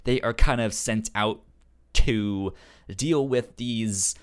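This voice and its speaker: A man co-hosting a casual comics discussion, stuttering on sounds and syllables in a halting, informal way. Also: lowish voice, clear, resonant tone. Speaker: podcast co-host reviewing comics.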